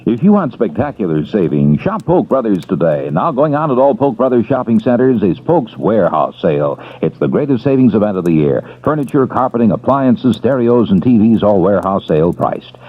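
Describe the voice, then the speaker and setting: A man reading a radio commercial in a brisk, salesy announcer manner. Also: lowish voice, very animated, slightly rough. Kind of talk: commercial read. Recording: tinny old AM radio broadcast.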